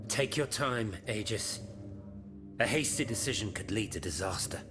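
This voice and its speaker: A man voicing a grave, learned and devout inventor in a video game, solemn and deliberate. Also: lowish voice, animated, over music, lightly acted. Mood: cautious. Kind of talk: video game cutscene acting.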